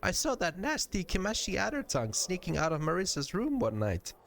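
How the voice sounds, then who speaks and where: A man's voice: sits high, wildly swooping pitch, brisk, gravelly, a bit bright, pitched above the performer's own voice, full character voice. A man voicing a tiny, timid, too-nice young woman from a game, with a hesitant, gushing manner. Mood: cheerful. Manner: hesitant, gushing, exaggerated when scolding.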